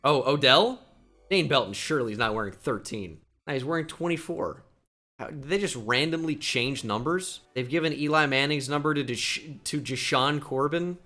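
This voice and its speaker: A man's voice: fairly high pitch, pushed and bright. A young man from New Jersey with a slight New Jersey accent, chatting casually as he comments on a football video game and thinks aloud with self-deprecating asides. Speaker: gaming YouTuber.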